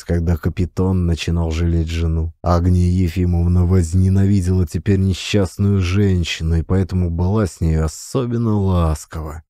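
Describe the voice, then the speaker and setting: A man narrating classic fiction as an audiobook, in an expressive, measured storytelling style. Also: sits low, dry recording, clean studio sound.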